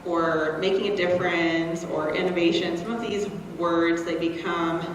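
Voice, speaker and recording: A woman's voice: lowish voice, steady tone, measured pace, a little hiss or hum. A woman moderating a live panel, upbeat and encouraging, recorded from a distance in a large echoey hall. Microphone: distant from the recording mic. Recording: roomy hall sound from far off.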